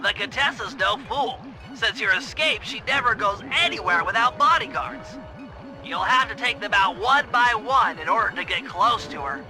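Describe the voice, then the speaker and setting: An actor playing a nerdy, careful young turtle gadget genius in a video game, nasal and precise. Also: male voice, sits high, expressive, normal speed, heavily acted, poor audio. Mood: earnest briefing. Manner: precise, earnest, bookish briefing. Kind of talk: animated video game cutscene acting. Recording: loud music under the voice. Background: game music.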